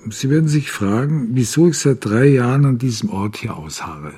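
An actor playing a brooding, haunted father in a radio drama, speaking in a halting, confiding way. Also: medium pitch, animated, mellow, close to an everyday voice. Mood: reflective. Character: brooding divorced father haunted by his lost daughter. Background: music.

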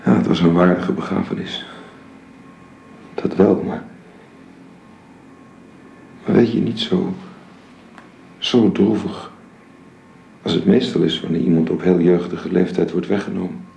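A man's voice: sits low, fairly even intonation, a little slow, vocal fry, pauses often, darkish tone, exaggerated voice. An actor in a radio drama playing a weary, anxious middle-aged man who halts and repeats words to soothe a sick young woman. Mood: weary, concerned. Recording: noisy, echoey old radio play recording.

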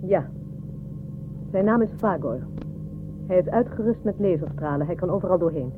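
An actor playing a grave, loyal conspirator in a science fiction radio drama, speaking seriously and deliberately. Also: male voice, high-pitched, natural voice. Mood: conspiratorial, grave. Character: male conspirator, loyal confidant of a space ruler, grave. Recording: thin phone-like radio recording, faint noise.

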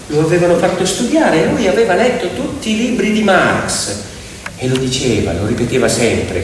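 A man telling a story theatrically as a stage actor, with dramatic, drawn-out phrasing. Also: medium pitch, a little hiss or hum. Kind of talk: theatrical narrative storytelling with piano. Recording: very echoey hall, distant, loud music. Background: loud piano music.

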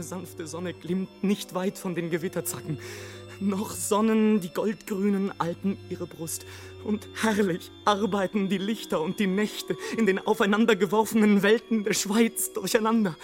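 An actor playing a lone, passionate romantic balloonist in a literary radio drama, declaiming poetically between hushed and impassioned. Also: male voice, high-pitched. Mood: ecstatic. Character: lone male balloonist, rapturous, wrathful, death-defying romantic. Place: studio radio production.

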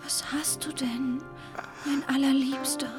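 High-voiced coquettish young Parisian mistress. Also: female voice, level tone, a little slow. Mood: coy, tender. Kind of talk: radio play acting. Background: music.

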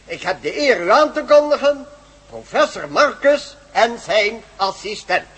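A man's voice: high voice, big pitch swings, a little slow, a bit bright, a little hiss or hum, full character voice. An actor playing a stuffy, sceptical male professor in a radio play, with a pompous, fussy manner. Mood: pompous, formal. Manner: pompous, fussy, hesitant stammering.

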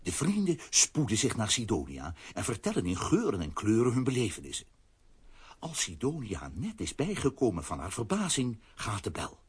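A man's voice: on the low side, huge pitch swings, normal speed, breathy edge, exaggerated voice. A man narrating a children's radio play as a vivid scripted storyteller.

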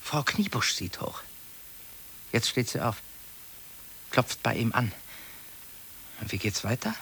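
Fussy, anxious middle-aged office clerk. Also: male voice, medium pitch, sing-song, takes it slowly, gravelly, pushed and bright, slight background noise, exaggerated voice, poor audio. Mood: confiding, observing. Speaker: actor. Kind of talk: 1950s German radio play acting.

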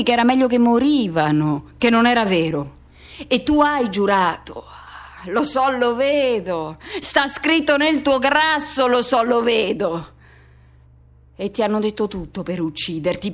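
An anguished, high-voiced haunted lover. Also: female voice. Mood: accusing, hurt. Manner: intense, halting, short broken phrases with repetition. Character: anguished person haunted by a lost youthful love. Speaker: actress performing a monologue. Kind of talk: dramatic radio theatre monologue.

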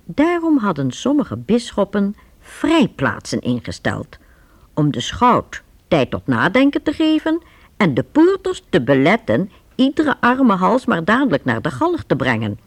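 A woman narrating a youth radio play in a measured storytelling manner. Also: mid-range voice, huge pitch swings, no echo.

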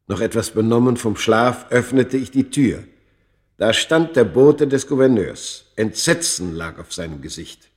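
Slow, deep, tormented undying man. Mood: shaken. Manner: grave, deliberate, literary storytelling. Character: tormented undying man recounting his cursed past. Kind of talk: radio drama first-person narration.

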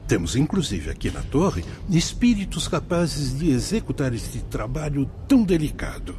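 A Brazilian man playing an earnest, kindly spirit guide in a radio drama, speaking in a formal, measured, instructive way. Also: on the high side, sing-song, darkish tone, a little hiss or hum. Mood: patient explaining. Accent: Brazilian Portuguese.

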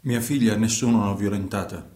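A man's voice: on the low side, fairly quick, darkish tone, close to an everyday voice. A man playing a grieving old father in radio theatre, terse, subdued and stubbornly insistent. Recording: roomy, echoing sound.

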